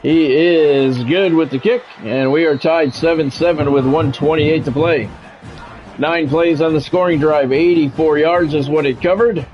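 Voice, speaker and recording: Male game streamer, slightly high voice, sports play-by-play with casual asides, slightly muffled audio over music. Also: fairly even intonation, a little slow. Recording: dull lo-fi audio, music behind.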